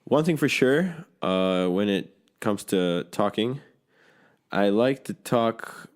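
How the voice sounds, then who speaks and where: Very low-voiced man, rambling casual chat. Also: animated, takes it slowly. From grew up between a Polish home and an English-speaking school. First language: Polish. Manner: rambling, many restarts and fillers, swears freely. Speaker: podcast co-host.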